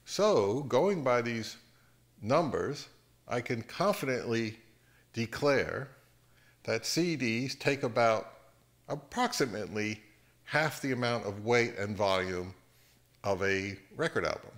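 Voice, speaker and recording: A middle-aged man hosting a casual explainer at home, speaking in a measured, halting way. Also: medium pitch, animated, fairly bright tone. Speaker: host of an audio hobby channel. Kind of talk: casual explainer to camera with some narration. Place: home living room.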